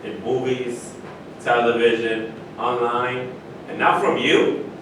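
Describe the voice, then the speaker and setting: A man's voice: monotone, slow pace, close to an everyday voice. A man at a staged reading, playing a proud, self-assured retired sports star who argues his case. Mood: lecturing. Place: live staged reading in a room, distant microphone. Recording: echoey room from far off, rumbling noise.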